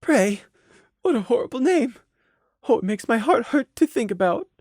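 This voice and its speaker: A woman's voice: fairly high pitch, big pitch swings, normal speed, darkish tone, no room sound, studio quality, voice pushed up. A woman voicing the anguished, self-loathing thoughts of a unicorn mare in a storybook, tearful and despairing.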